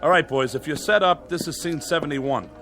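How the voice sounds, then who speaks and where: A harried director barks quick orders to his crew in a higher voice, played by a man. Music runs under the radio scene. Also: lightly acted. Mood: brisk. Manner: brisk, commanding. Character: harried film director, male, impatient. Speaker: film director.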